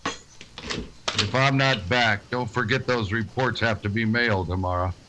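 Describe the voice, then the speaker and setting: A man playing a weary, plain-spoken lawman in a radio western, terse and deadpan. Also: on the low side, unhurried, background noise, natural voice. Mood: stoic, curt. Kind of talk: old-time radio western acting.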